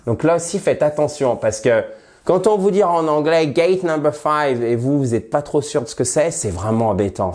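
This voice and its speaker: A man teaching English phrases in French, with a head cold, lively and friendly, slowing down to model the English. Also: medium pitch. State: has a cold, slightly nasal voice. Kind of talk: bilingual language lesson at a whiteboard.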